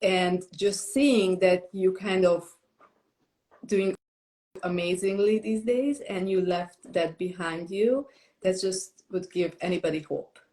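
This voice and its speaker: An enthusiastic woman from Romania hosts a relaxed interview, her voice sitting in the middle of the range. Her speech is full of fillers and quick restarts. The room around her gives a noticeable echo. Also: medium pitch. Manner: warm, enthusiastic, with frequent fillers and laughs. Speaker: interviewer, book compiler. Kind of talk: casual interview chat. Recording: echoey room.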